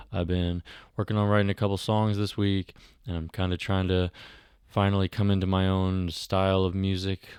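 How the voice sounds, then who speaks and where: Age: adult. Sex: man. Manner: rambling podcast host, very low smooth voice, dry close mic. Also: darkish tone, dry recording. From Missouri. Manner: rambling, self-deprecating, trails off and restarts. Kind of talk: casual solo intro to a podcast.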